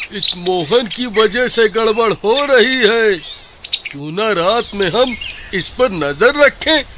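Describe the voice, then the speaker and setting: A man voicing a simple, excitable village man in a cartoon story, animated and folksy, with a rustic eastern Hindi accent. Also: extremely high pitch, slight background noise, voice pushed up, heavily acted. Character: simple, excitable male Indian villager, easily alarmed.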